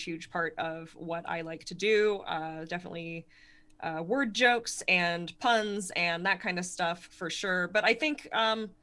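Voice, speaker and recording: A woman from Connecticut with a Connecticut accent, a stand-up comedian speaking fast and articulately in a casual interview, self-deprecating and laughing often. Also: medium pitch, pushed and bright. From Connecticut, USA.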